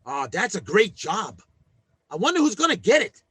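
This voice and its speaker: An actor playing a scheming, self-important working-class husband in an old-time radio sitcom, with blustery, folksy speech. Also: male voice, high voice, very animated, normal speed, sharp tone, breathy edge, no echo, heavily acted.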